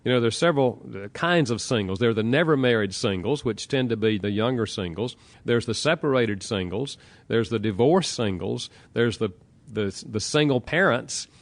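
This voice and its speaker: A man with a Southern American accent, an author answering interview questions in a calm, explanatory way and walking through examples. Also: medium pitch, normal speed. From Southern United States.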